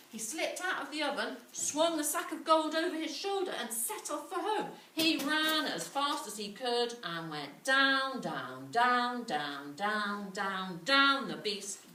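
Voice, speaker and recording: Female children's story reader, unhurried, expressive drawn-out phrasing, recorded a little distant in a reverberant room. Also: fairly high pitch. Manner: dramatic, drawn-out emphasis. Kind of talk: animated children's story reading. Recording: echoey room, distant mic, faint noise.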